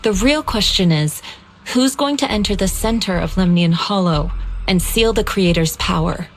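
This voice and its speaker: A woman's voice: medium pitch, normal speed, soft background music, lightly acted. An actress playing a stern, protective martial arts master in a video game, formal and earnest, devoted to her pupil. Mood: measured.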